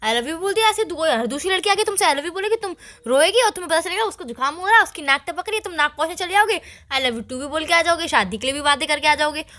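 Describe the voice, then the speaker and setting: A woman acting as a jealous young girlfriend with an extremely high, bright, sharp voice. She talks fast, scolding and sarcastic, cutting in with indignant accusations. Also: expressive, full character voice. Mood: angry, accusing. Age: young adult.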